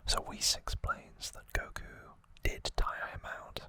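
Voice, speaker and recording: An ASMR host whispering a recap of trivia in a breathy, unvoiced voice, with halting, informal asides. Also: sharp tone.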